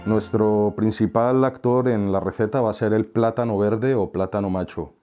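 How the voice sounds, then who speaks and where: Middle-aged Colombian-born man, easy friendly kitchen host, slightly low voice. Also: steady tone, moderate pace, dark tone, soft background music. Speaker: cooking tutorial host. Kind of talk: relaxed recipe explanation. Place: home kitchen, indoors.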